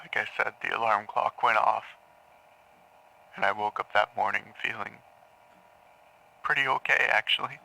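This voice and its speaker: A man playing a troubled patient in an audio drama, haltingly confessing dark memories to a therapist, stammering and repeating words. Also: lowish voice, vocal fry, exaggerated voice, poor audio. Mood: subdued, hesitant. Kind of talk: audio drama acting, intimate confessional monologue.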